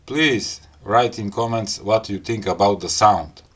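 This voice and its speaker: A man with a non-native English accent giving a casual hands-on gadget demo in short, halting phrases. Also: lowish voice, a bit bright, soft background music. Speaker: gadget review host. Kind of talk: casual hands-on unboxing and product demo talk.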